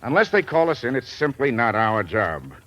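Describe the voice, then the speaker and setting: Businesslike agency chief, mid-pitched, animated intonation, vintage radio drama, muffled recording. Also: male voice, normal speed. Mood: resigned. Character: resigned, businesslike male bureau chief. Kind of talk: old-time radio drama acting.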